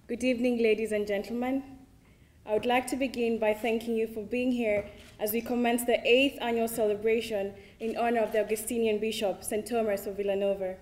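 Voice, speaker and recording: A young woman from Kenya with a Kenyan accent, a student giving a formal, measured introduction of a lecture speaker. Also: a little high, level tone, darkish tone. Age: young adult. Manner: measured, read from a script.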